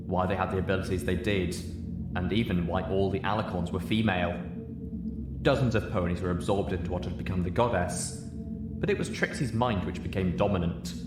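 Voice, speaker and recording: A man reading a story aloud as a scripted narrator. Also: sits low. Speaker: narrator, audiobook reader. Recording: echoey room, audible background noise.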